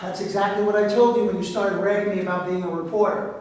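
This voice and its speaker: A man's voice: high voice, level tone, fast, a little mellow, lightly acted. A man reading the part of an earnest, proud reporter in a staged play. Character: earnest, protective male news reporter, proud and persistent. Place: echoey room at a live staged reading. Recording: echoey room, far mic, faint noise.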